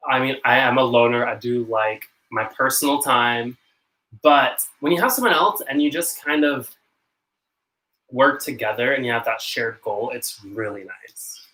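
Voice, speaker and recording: A young man with a North American accent talks to his camera at home in a loose live-stream chat. His tone is bright and forward, and he rambles a little, sounding self-conscious and breaking into quick laughs now and then. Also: medium pitch, low-quality recording. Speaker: channel host.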